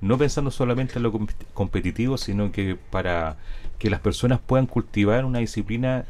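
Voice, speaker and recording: Male host, lowish voice, chatty teasing questions. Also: a little fast, a little mellow. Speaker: local TV host. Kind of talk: interviewing guests.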